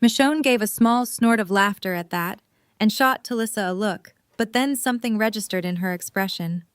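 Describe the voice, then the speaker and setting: A woman's voice: medium pitch, normal speed, no room sound, studio quality. A woman reading scripted third-person narration for an audio drama. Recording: clear background noise with hum.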